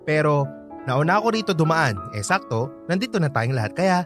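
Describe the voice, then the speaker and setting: A young man with a Filipino accent, played by an actor, talks with campy, cheeky flair. He is catty and flamboyant in a radio drama, over clearly audible music. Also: fairly high pitch, sing-song, a little fast. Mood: breezy. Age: young adult.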